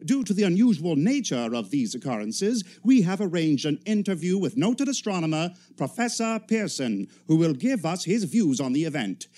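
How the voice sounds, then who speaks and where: An actor playing a formal, urgent 1930s radio news announcer reading bulletins in a radio play. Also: male voice, on the high side, sing-song, normal speed, few pauses, warm tone.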